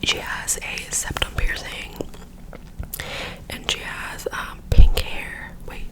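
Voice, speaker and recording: A woman's voice: slow, low-quality recording. A young woman whispering a rambling story into a close microphone while doing her makeup, often correcting herself as she goes. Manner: rambling, self-correcting, filler-heavy storytelling. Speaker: ASMR creator.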